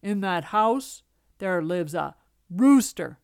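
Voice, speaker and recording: A woman plays a big bad fox from a storybook, a father and a schemer. The voice is gruff and emphatic, drawing words out slowly with menace. It sounds close and dry. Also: lowish voice, lively intonation, a little mellow, no echo, exaggerated voice. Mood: scheming, menacing.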